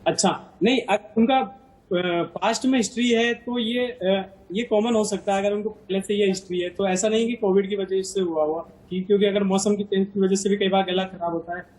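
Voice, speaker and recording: A man's voice: sits high, fairly even intonation, moderate pace, a little mellow, low-quality recording. A man speaking as a doctor on a live TV health show, explaining in a hesitant way with many fillers and restarts. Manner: explanatory, lists symptoms. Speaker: doctor guest.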